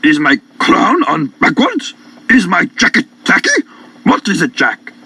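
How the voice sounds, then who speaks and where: Fussy, high-pitched, raspy king. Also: wildly swooping pitch, a little slow, sharp tone, no room sound, exaggerated voice. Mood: anxious, vain. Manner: pompous, complaining. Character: fussy, vain, whiny storybook king. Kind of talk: theatrical children's audio story acting.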